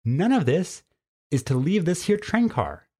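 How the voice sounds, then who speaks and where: A man's voice: fairly high pitch, big pitch swings, measured pace, dark tone, husky, pitched above the performer's own voice, heavily acted. A man voicing a stern, plainspoken female cougar with folksy country phrasing in a storybook. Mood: firm, warning. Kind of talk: storybook character voice.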